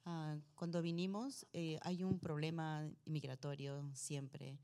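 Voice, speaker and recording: A woman giving a halting personal testimony as a missionary guest at a church. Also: deep voice, fairly even intonation, dark tone, clear, resonant tone.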